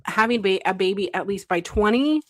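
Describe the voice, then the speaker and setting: A woman's voice: mid-range voice, animated, fairly quick, dry recording. Casual woman podcaster, dry close sound. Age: late 30s. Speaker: podcast co-host. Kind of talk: casual chat.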